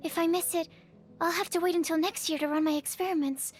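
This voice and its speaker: A woman's voice: very high voice, steady tone, fast talker, soft background music. A woman voicing a shy, bookish young female alchemist in a video game, speaking in a hesitant, polite and earnest way. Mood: earnest curiosity. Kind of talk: English video game character voice acting.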